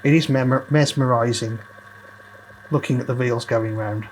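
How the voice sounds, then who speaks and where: A man's voice: mid-range voice, measured pace, slight background noise, rough recording. A man hosting a repair video, casually explaining each step as he works through a hands-on demonstration. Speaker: host of a vintage audio repair video.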